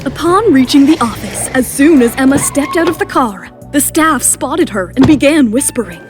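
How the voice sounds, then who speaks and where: A woman's voice: sits high, lively intonation, moderate pace. A woman reading dramatic scripted narration as the narrator of an audio drama. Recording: music behind the voice.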